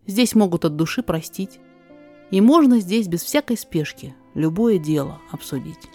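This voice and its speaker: Low-voiced woman reciting verse over music. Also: lively intonation, normal speed. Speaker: narrator and reader of a verse podfic. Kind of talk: rhymed verse storytelling. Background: soft instrumental music.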